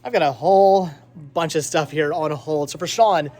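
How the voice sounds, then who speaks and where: High-voiced, chatty man vlogging. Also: normal speed, darkish tone. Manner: chatty, rambling, repeats words for emphasis. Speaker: vlogger host. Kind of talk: casual vlog chat to camera and friends.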